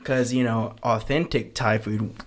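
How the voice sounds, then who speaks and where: A man's voice: medium pitch, steady tone, unhurried. A young man with a mid-range voice chatting on a casual podcast. He tells self-deprecating stories and giggles through them. It sounds like easy banter with friends. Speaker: podcast co-host. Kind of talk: casual chat among friends.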